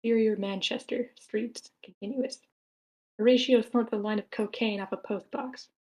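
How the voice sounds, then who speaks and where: A woman's voice: a little high, steady tone, moderate pace, darkish tone, rough recording. A woman reading out scripted stage directions as the narrator of a screenplay table read.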